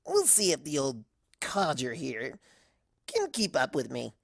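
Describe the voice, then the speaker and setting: A woman's voice: very deep voice, huge pitch swings, a little slow, vocal fry, no room sound, clean studio sound, exaggerated voice. A woman voicing a brash, cocky young female pegasus pony with a scratchy, teasing delivery.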